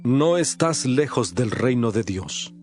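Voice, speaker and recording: A holy teacher in a bible audio drama, male, mid-pitched, preaching firmly and solemnly, faint music behind. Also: expressive, slow pace, darkish tone. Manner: solemn, emphatic, declamatory. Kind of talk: dramatized scripture audiobook acting.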